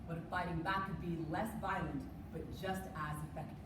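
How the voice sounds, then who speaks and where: A woman's voice: lowish voice, steady tone, normal speed. A woman delivering a rehearsed, dramatic spoken-word recitation with emphatic, declamatory phrasing. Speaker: reader's theater performer. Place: live competition performance in a room. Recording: echoey room, distant mic, noisy.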